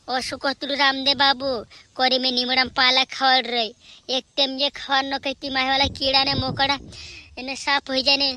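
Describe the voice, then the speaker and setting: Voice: high-pitched, sharp tone, full character voice. A performer voicing a chattering, scolding village family member in an amateur cartoon comedy. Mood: chatty, insistent.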